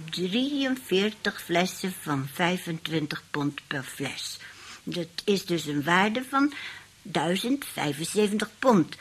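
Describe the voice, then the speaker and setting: An actress playing a sly, bossy old great-aunt in a radio play, speaking in a deliberate, calculating way. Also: female voice, on the low side, a little hiss or hum. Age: older.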